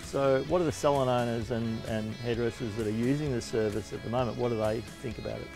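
A man's voice: medium pitch, steady tone, a little fast, creaky. A middle-aged Australian man casually presenting and interviewing for a promotional video. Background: music with guitar.

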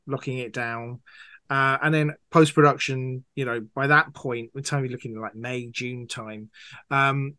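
A man's voice: medium pitch, fairly bright tone, dry recording, clean studio sound. A man answering interview questions as a guest audio drama producer, talking conversationally and with enthusiasm.

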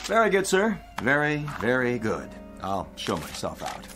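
A man's voice: mid-range voice, wildly swooping pitch, normal speed, music in the background. An actor playing a polite, businesslike male insurance adjuster in a radio drama. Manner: courteous, businesslike. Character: polite, smooth-talking insurance claims adjuster.

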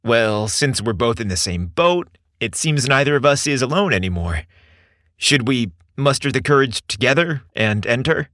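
A man voicing a nervous, hopeful young man who speaks softly and earnestly. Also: medium pitch, lively intonation, moderate pace, dry recording, clean studio sound, natural voice. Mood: relieved. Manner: soft, hesitant and earnest.

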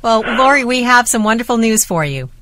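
A woman's voice: on the high side, expressive, a little fast, a bit bright. A woman radio host giving an upbeat announcement to a caller on air.

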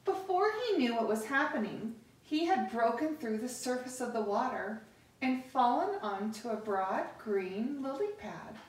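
A middle-aged woman reading a picture book aloud to children, with deliberate, expressive pauses, recorded across a living room. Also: fairly high pitch. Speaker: story time host reading to children. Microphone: camera across the room. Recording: echoey room, distant microphone.

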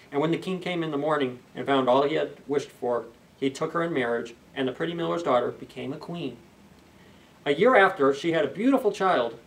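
A man in his thirties reading a children's story aloud expressively, pausing for asides to young listeners. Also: a little high. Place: indoors, library children's room. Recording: slightly distant camera microphone.

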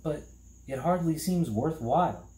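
Man doing an earnest young boy, higher-pitched. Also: big pitch swings, a little slow, warm tone, roomy sound, rough recording. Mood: polite, hesitant. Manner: earnest, hesitant, polite.

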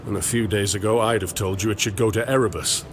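An actor playing a grim, defiant space marine in a video game, speaking in a terse, serious way. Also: male voice, on the low side, fairly even intonation, fast talker, faint music behind, close to an everyday voice. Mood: grim, determined.

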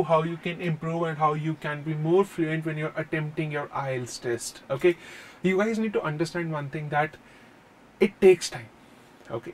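A man in his thirties with an Indian accent, giving a classroom lecture on exam speaking tips, talking animatedly and often saying "okay", recorded on a lapel mic in a classroom. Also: a little high. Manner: animated, gestures at whiteboard, says "okay" often. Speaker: IELTS tutor. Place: classroom in front of projected screen.

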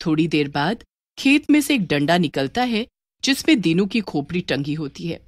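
A woman narrates a scripted story. Her voice is slightly low with very lively intonation, recorded close and dry. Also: moderate pace, dry recording.

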